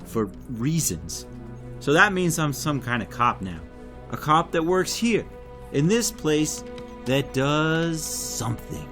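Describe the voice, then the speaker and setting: A man's voice: on the high side, big pitch swings, takes it slowly. A man playing a bewildered, earnest rookie agent, hesitant and questioning, in an audio drama. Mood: unsure, earnest. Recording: music under the voice.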